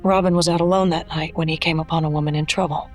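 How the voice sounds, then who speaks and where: A woman's voice: low voice, steady tone, quick pace, faint music behind, close to an everyday voice. A woman playing a weary, guarded former crime fighter in an audio drama, subdued and serious. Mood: resigned, sombre.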